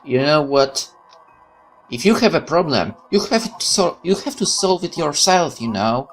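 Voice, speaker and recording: A man's voice: fairly high pitch, lively intonation, a little slow, faint music behind, low-quality recording. A man hosting a gaming video, reading visual novel text aloud and adding casual commentary.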